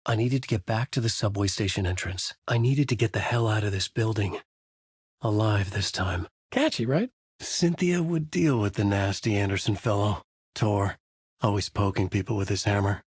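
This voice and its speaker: A haunted writer in a game, low husky voice, grim noir monologue, dry. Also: male voice, moderate pace, dry recording. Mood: brooding. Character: haunted male horror novelist, brooding, driven. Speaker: narrator. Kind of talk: video game voice lines.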